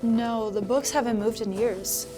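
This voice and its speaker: A woman's voice: on the high side, unhurried, over music, natural voice. A woman acting a hurt, sardonic younger sister in naturalistic drama. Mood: hurt, defensive. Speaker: actor.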